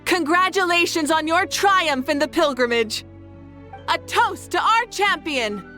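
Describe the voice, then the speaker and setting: A woman's voice: very high-pitched, animated, unhurried, pushed and bright, faint music behind, full character voice. A woman playing a confident, benevolent warrior leader in an anime game, composed and encouraging. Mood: celebratory.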